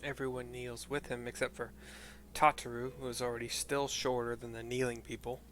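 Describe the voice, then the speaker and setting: A man casually describing video game scenes as he plays, in an offhand, halting, slightly wry way. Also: medium pitch, little pitch movement, a bit bright, faint music behind. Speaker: game streamer.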